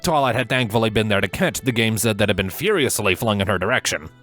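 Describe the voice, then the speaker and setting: A man's voice: fairly low pitch, expressive, fast, fairly bright tone, faint music behind. A man reading a scripted story aloud as the narrator. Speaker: fan fiction narrator.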